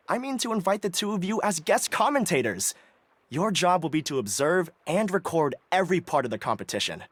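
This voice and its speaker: Polite young organizer, light lively delivery, soft music under. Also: male voice, fairly high pitch, no room sound. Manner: courteous, formal, explanatory. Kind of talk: video game voice acting. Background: soft game music.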